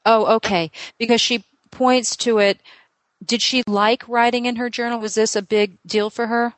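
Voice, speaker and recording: A woman's voice: on the high side, fairly even intonation, no room sound, low-quality recording. A woman working as a psychic medium, thinking aloud with lots of fillers and firing off questions to a client during a reading. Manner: asks many quick questions in a row. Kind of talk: live reading session with a client.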